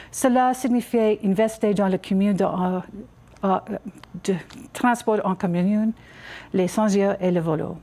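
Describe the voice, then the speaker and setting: A woman with a soft, mellow voice and an English Canadian accent reads a scripted campaign speech. She is a non-native speaker, so the delivery is halting, with small stumbles over the words. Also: medium pitch. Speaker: city council candidate.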